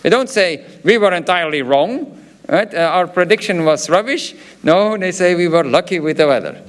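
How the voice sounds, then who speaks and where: A man's voice: high-pitched, expressive, normal speed. A man from Belgium with a Flemish accent, a professor giving a graduation ceremony speech, hesitant and full of filler sounds, with chatty asides. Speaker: professor presenting diplomas.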